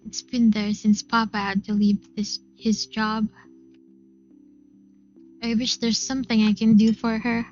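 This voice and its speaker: Woman reading a visual novel out loud, bit high, kinda muffled, soft music under it. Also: flat delivery, a little slow, pauses often. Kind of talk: expressive first-person story narration. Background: game music.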